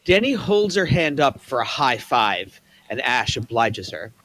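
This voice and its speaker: A man's voice: medium pitch, a little slow, no echo, poor audio. Man reading stage directions, dry sound. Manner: plain, even reading of scene directions.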